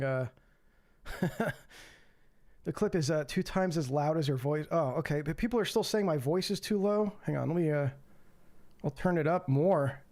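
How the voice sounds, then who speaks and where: A man's voice: fairly high pitch, a little mellow. A man hosting a casual call-in livestream, talking loosely with many fillers and restarts into a home streaming mic. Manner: rambling, lots of fillers and restarts. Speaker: livestream host.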